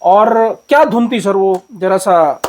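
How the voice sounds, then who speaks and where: High-voiced enthusiastic Uttarakhand vlogger. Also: male voice, takes it slowly, a little mellow. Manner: enthusiastic, full of praise, many fillers. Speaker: vlogger, interviewer. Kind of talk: casual interview.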